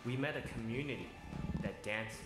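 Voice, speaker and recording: Earnest male narrator over music. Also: medium pitch. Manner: measured, earnest storytelling. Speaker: video narrator. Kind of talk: scripted documentary narration. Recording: roomy with music behind. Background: instrumental music.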